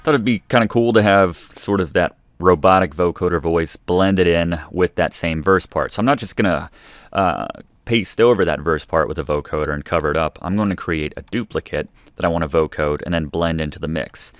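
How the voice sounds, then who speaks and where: A man's voice: low voice, a little fast, no room sound. A man narrating a music production tutorial in a casual, informal, explanatory way. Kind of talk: casual instructional screen-recording narration.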